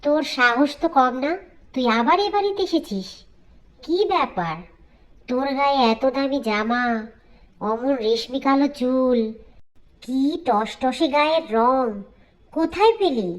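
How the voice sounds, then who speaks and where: Lady doing a mean middle-aged cartoon stepmom, really high voice, scheming and spiteful village type. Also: animated, a little slow, pauses often, poor audio. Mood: sharp, suspicious. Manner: scolding, menacing, falsely sweet when wheedling. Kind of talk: dramatic Bengali cartoon fairy tale acting.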